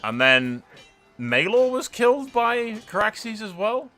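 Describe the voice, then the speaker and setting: Animated man doing sarcastic gaming commentary. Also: sits high, slow. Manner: swearing, sarcastic, theatrical. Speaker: gaming video host. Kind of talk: casual let's play commentary with dramatic storytelling. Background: music.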